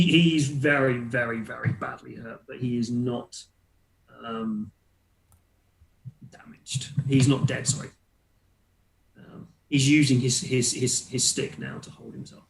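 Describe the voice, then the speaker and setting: Guy game mastering a tabletop rpg, slow and halting, repeats himself, echoey room. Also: medium pitch, gravelly. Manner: hesitant, repeats words while improvising. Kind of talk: narrating a tabletop roleplaying game.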